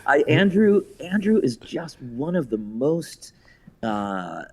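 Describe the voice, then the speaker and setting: A man's voice: fairly high pitch, big pitch swings, slow pace, darkish tone. A man speaking as an interview guest, telling casual anecdotes in an enthusiastic, effusive way. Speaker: podcast guest, theatre director.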